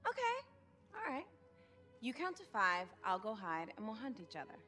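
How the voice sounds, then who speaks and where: A woman's voice: fairly high pitch, huge pitch swings, moderate pace, sharp tone, soft background music, full character voice. A woman playing a confident, teasing teenage girl in a video game, with casual, natural, bantering delivery. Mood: playful, teasing.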